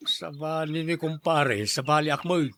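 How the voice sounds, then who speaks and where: A man's voice: on the high side, fairly even intonation, a little slow, a bit bright, a little hiss or hum. A man playing a henpecked, hard-drinking husband in an audio drama, grumbling and protesting in a defensive manner. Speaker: voice actor in a drama. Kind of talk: Ilocano audio drama acting.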